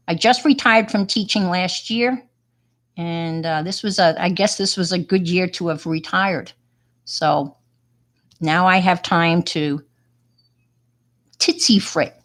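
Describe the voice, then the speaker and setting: A retired woman teacher with a New York accent, chatting casually while showing her artwork, rambling and poking fun at herself. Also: lowish voice. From Long Island, New York. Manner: rambling, self-deprecating, chatty with fillers. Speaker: retired teacher and hobby artist. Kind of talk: casual show-and-tell chat.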